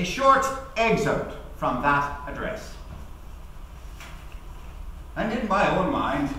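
Heard from a distance in a very reverberant hall with faint noise, a middle-aged man acts out a proud, eloquent labour activist addressing listeners. His delivery is formal and declamatory, like stage oratory, with deliberate emphatic pauses. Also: fairly high pitch, sharp tone. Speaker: actor giving a dramatic reading. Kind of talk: theatrical courtroom-style oratory at a lectern. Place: large auditorium, at a lectern.

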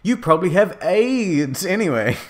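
A man's voice: medium pitch, wildly swooping pitch, slow pace, a bit bright, a little hiss or hum, pitched above the performer's own voice, exaggerated voice. A man playing a melodramatic, huffy teenage goth vampire girl with theatrical, exaggerated delivery in a story reading. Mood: angry.

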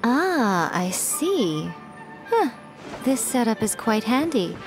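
A woman's voice: fairly high pitch, very animated, slow pace, exaggerated voice. A woman voicing a sly, teasing shrine priestess who stays coolly amused. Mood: calm. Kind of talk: video game voice acting. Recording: faint game music behind.

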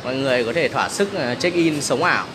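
A man's voice: medium pitch, fast. A man giving a casual promotional walkthrough of a busy buffet restaurant, chatting to viewers as if they were family and filming on a handheld phone. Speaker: restaurant staff giving a tour. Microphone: handheld phone camera. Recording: phone video with loud background noise. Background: loud crowd and dining room noise.

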